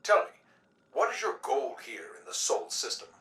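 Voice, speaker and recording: An actor playing a polished, formal city news broadcaster, probing guests in a video game radio interview. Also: male voice, medium pitch, huge pitch swings, a bit bright, roomy sound, far from the mic. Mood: probing, courteous. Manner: formal, measured announcer phrasing.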